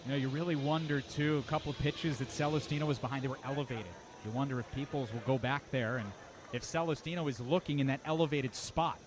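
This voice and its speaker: A man calling live baseball on the radio as play-by-play announcer, switching between clipped pitch calls and flowing commentary. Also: steady tone, fast talker. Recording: clear outdoor ballpark noise.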